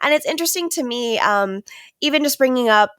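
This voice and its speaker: A woman's voice: sits high, expressive, fairly quick, a bit bright, no room sound, clean studio sound. A woman hosting an interview show, upbeat and enthusiastic as she introduces and questions her guest. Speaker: podcast host.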